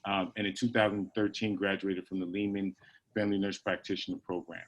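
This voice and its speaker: A man moderating a panel over a video call, reading out introductions in a halting, apologetic way. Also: low-pitched, little pitch movement, low-quality recording.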